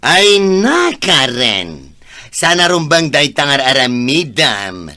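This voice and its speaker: A man playing a frank, concerned friend in a radio drama, chiding in a drawn-out manner. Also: fairly high pitch, huge pitch swings, bright, forceful tone, dry recording, heavily acted. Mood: concerned advice. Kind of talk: Ilocano radio drama acting.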